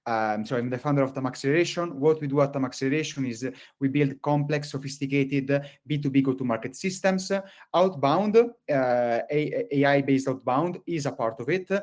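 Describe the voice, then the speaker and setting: A man with an Italian accent leads a live webinar over a video call, speaking at an average pitch. His sentences ramble, packed with fillers and restarts as he gathers his thoughts. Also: fairly quick, a little mellow. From Italy. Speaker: webinar presenter.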